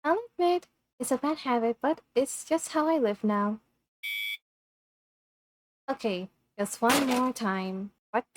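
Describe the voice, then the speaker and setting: A woman reading a visual novel script aloud in an expressive, dramatic way over game music. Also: a little high, slow, long pauses, background noise.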